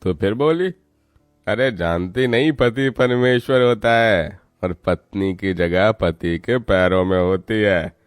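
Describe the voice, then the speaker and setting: Man playing deep-voiced arrogant drunken husband. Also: takes it slowly, smooth, dry recording, studio quality. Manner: swaggering, demanding. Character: drunken, arrogant, lazy gambler husband, domineering and crude.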